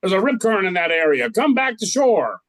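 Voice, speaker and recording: A man's voice: high-pitched, sing-song, fairly quick, fairly bright tone, no echo. An older man narrating a scripted radio play in a storytelling style.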